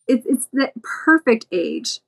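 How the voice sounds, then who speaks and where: A woman's voice: high voice, sing-song, vocal fry, fairly bright tone, slightly rough. Reflective woman podcast guest. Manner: reflective, halting, with fillers and repeated phrases. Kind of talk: casual group chat.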